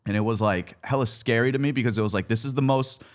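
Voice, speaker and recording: A man's voice: medium pitch, fast talker, slight background noise. A man in his twenties talking candidly and informally as a guest about his personal life, with slang and some swearing. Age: 20s. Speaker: podcast guest, content creator.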